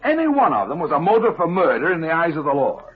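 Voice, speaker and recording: Animated 1950s radio detective. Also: male voice, a little high, fast talker, husky, exaggerated voice. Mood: assured, grave. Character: shrewd male private detective explaining a case. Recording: muffled vintage radio recording, music behind.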